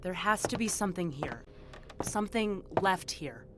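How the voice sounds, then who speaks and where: A woman's voice: lowish voice, expressive, normal speed, background noise, lightly acted. An actress playing a determined but guarded female investigator in an audio drama, earnest and a little hesitant. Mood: alert, searching.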